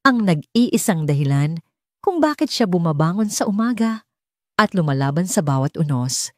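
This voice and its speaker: Low-voiced Filipino woman narrating a story. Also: sing-song, normal speed, dry recording, clean studio sound. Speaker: audiobook narrator. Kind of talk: scripted dramatic story narration.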